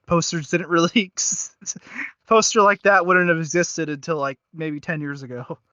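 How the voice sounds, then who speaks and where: Man, bright forceful tone, casual co-host chat, halting and self-correcting, slightly dull lo-fi recording. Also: fairly high pitch, steady tone, smooth, dry recording. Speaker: podcast co-host reviewing audio dramas. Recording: dull, lo-fi dry mic.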